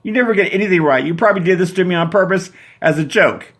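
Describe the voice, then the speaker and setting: A woman's voice: sing-song, fairly quick. A man voicing a furious, tearful woman in curlers who screams at her date. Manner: screaming, tearful, high-pitched.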